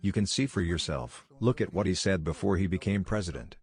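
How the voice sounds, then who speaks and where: Guy with a low voice doing scripted political commentary voiceover. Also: expressive, a little fast, a little mellow, faint music behind.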